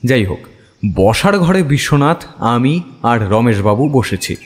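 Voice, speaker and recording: A male actor as a detective's companion telling a mystery story. His delivery is wry and very expressive, swinging widely in pitch, with a long reverb around the voice. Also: unhurried. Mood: wry, reflective. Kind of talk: dramatic first-person story narration.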